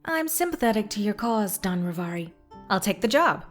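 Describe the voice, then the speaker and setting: A woman's voice: medium pitch, big pitch swings, moderate pace, faint music behind, natural voice. A woman playing a cool-headed ghost hunter who talks in a blunt, dry, confident way. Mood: firm. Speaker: voice actress. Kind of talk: fan audio drama acting.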